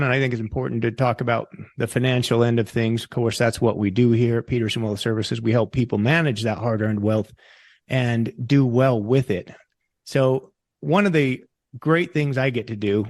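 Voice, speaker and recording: A man's voice: mid-range voice, a little mellow, no echo. A man hosting an interview podcast, with a mid-range voice and a dry, close sound. He introduces his guest in a loose, folksy way that wanders a little. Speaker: podcast host.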